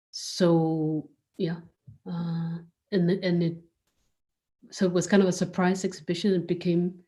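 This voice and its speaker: A woman's voice: lowish voice, warm tone. A woman giving reflective interview answers as a curator, hesitant and searching for words. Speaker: curator and art space director, guest.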